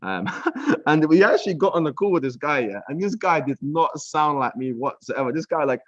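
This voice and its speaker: A young British man breaking down trading charts on a casual live stream, relaxed and chatty, full of "um", "bro" and "like". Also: on the high side, normal speed, no room sound, highs cut off. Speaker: trading mentor. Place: villa room.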